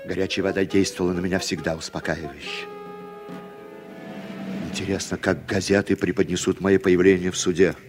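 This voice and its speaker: An actor playing a self-absorbed, brooding man in a measured, reflective inner monologue for an old radio drama. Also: deep voice, flat delivery, close to an everyday voice. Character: self-absorbed, brooding man named Frank, narrating his evening. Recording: 1960s radio recording, music behind.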